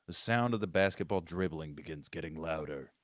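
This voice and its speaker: A man's voice: rumbling low, fairly quick, dry recording, studio quality. A man reading screenplay stage directions aloud as scripted narration at a table read.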